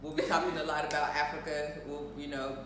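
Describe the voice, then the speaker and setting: A woman's voice: low voice, little pitch movement, fairly quick, fairly bright tone. An American woman talks from a little way off in a very echoey room with faint noise behind her. She is a show host chatting casually and bluntly, driving opinions home with emphatic repetition. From United States. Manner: emphatic, outspoken, repeats phrases for emphasis. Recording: roomy echo, distant mic, faint noise.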